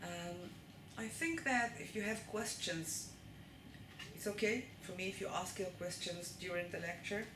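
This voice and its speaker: A woman with an Israeli accent lectures to a live audience and keeps checking in with them as she goes. Her voice rings around an echoey room, with steady noise underneath. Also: lowish voice, measured pace.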